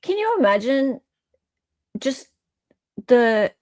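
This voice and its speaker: A woman's voice: sits high, very animated, slow, a little mellow, no echo. An Australian woman hosting a live stream in casual, opinionated chat, often checking for agreement as she goes.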